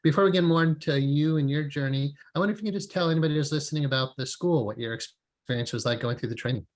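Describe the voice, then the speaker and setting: A man's voice: a little high, quick pace, poor audio. A man hosting an interview, asking supportive, reflective questions over a video call. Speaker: podcast host.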